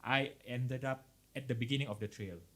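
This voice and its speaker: A man's voice: medium pitch, normal speed, fairly bright tone. A Malaysian man co-hosting a podcast, casually reading out stories and chatting, mixing English with Malay. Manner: mixes English with Malay, explaining as they go. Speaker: podcast co-host. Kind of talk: casual chat, reading out listener horror stories.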